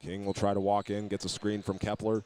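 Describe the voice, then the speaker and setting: A man's voice: on the low side, monotone, brisk, darkish tone. An American man giving live basketball radio commentary as a play-by-play announcer, narrating each move steadily and methodically. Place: basketball game in a gymnasium.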